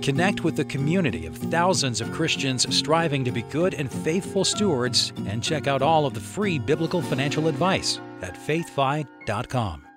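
A man's voice: medium pitch, lively intonation, moderate pace, few pauses, music in the background. A man reading scripted promotional narration as an announcer.

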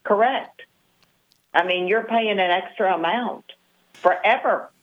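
A woman's voice: medium pitch, unhurried. A woman in her sixties, a Medicare advisor, explaining things matter-of-factly as a guest on the show. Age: 60s. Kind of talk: phone-in interview. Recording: tinny phone line.

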